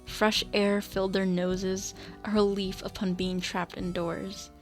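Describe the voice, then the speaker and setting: A woman's voice: mid-range voice, level tone, a little slow, faint music behind. A woman narrating a radio drama in a scripted storytelling style.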